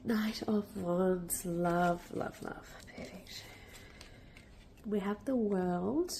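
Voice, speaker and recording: Croaky woman with a cold reading tarot. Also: mid-range voice, drawn-out words, a little hiss or hum. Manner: warm, reassuring, with many fillers and restarts. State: has a cold, a bit nasal and croaky. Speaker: tarot reader. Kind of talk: casual spoken tarot reading.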